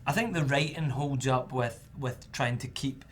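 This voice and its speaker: A young man, chatting casually as an actor at a roundtable interview, relaxed and full of fillers. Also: medium pitch, normal speed, a little hiss or hum. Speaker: actor guest.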